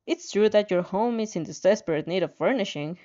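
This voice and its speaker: A woman's voice: mid-range voice, fairly quick, mellow, dull audio. A woman reading a story aloud, often stumbling and repeating words as she narrates. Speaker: fan fiction narrator.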